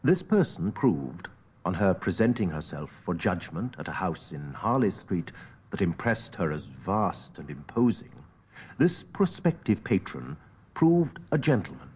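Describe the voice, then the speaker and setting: An actor playing an educated Victorian gentleman, recounting a haunting memory in a measured, theatrical audiobook narration with weighty pauses. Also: lowish voice, breathy edge. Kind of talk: dramatic literary audiobook narration.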